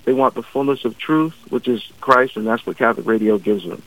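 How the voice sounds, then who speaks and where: A man's voice: medium pitch, fairly quick, no room sound. Deacon guy on a phone call, a bit muffled, dead dry sound, preachy and earnest. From Barbados. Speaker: deacon guest. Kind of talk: radio interview by phone. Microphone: phone line. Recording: muffled phone-line interview audio.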